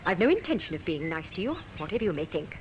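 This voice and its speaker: Defiant young woman, animated vintage radio. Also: fairly low pitch, fast. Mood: cold, defiant. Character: defiant reclusive young woman artist living by a swamp. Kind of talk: 1950s radio drama acting. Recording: muffled, noisy old 1950s radio recording.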